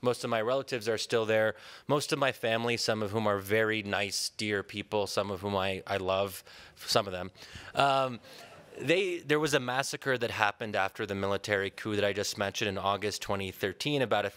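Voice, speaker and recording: Conference speaker: man, 30s, American, deepish voice, measured academic delivery, podium mic in a large hall. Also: fairly even intonation, a bit bright, clean tone. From Pennsylvania, USA. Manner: measured, thoughtful, with frequent ums and restarts. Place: large hall with a seated audience. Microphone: podium microphone.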